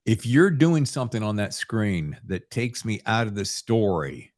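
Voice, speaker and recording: A man's voice: fairly low pitch, very animated, measured pace. A man hosting a casual chat, emphatic and given to acting out imagined reactions. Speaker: podcast host.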